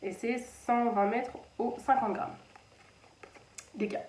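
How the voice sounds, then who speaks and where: A chatty woman in her thirties talks her way through a knitting update, rambling and pausing to restart her sentences. Her mid-pitched voice sits a little back from the mic in an echoey room, with a faint hum of the house behind her. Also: fairly even intonation, darkish tone, far from the mic. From France (Anjou). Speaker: knitting channel host. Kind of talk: casual knitting podcast chat. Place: children's playroom at home.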